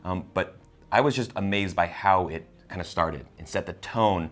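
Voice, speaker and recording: Low-voiced man in casual review chat. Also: normal speed, a bit bright. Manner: hesitant, repeats words and phrases as he goes. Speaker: film reviewer and co-host.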